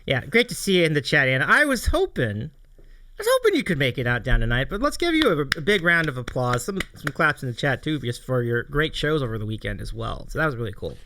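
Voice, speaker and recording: A man's voice: mid-range voice, sing-song, fairly quick, pushed and bright. A man hosting a livestream interview show, chatting enthusiastically and effusively, often repeating his phrases. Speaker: live stream show host.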